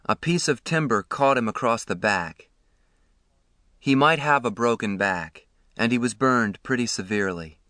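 A man voicing a calm, kind adult stranger reassuring a hurt teenager in a story reading. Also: medium pitch, moderate pace, dry recording, studio quality, natural voice.

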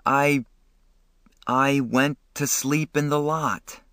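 A man's voice: medium pitch, expressive, measured pace, a bit bright, no room sound, studio quality, pitched above the performer's own voice. A man reading an audiobook, voicing a sensitive, upset teenage boy who pleads and protests. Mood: defensive.

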